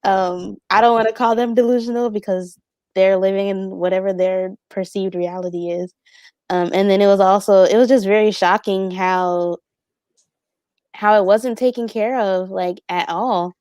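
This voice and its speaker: A woman's voice: medium pitch, steady tone, normal speed, a little mellow, dry recording. A young woman on a discussion panel, talking in a hesitant, thoughtful way with many fillers and restarts. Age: young adult. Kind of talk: casual reflective discussion.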